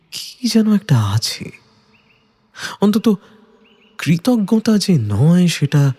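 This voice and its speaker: A man's voice: fairly high pitch, dark tone, breathy edge. A man narrating a detective audio story from a script in a dramatic style.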